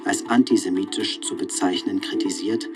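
An actor playing a formal chronicler, reading factual documentary text in a radio play. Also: male voice, deep voice, fairly even intonation, normal speed, natural voice. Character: formal male chronicler reading dated events. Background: music.

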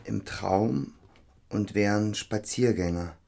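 An actor playing a shy, self-doubting young poet in an intimate radio drama, halting and terse. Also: male voice, on the low side, vocal fry, darkish tone, slight background noise. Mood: hesitant, solemn.

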